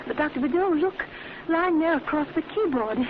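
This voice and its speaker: Worried crime-drama leading lady, 1940s radio melodrama, very high voice, noisy phone-thin recording. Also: expressive, moderate pace, phone-quality sound. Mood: anxious, bewildered. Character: anxious, bewildered woman companion of a crime fighter.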